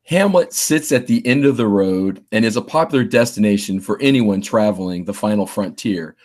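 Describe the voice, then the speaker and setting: Low-voiced male narrator. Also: normal speed, a little mellow. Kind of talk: scripted narration.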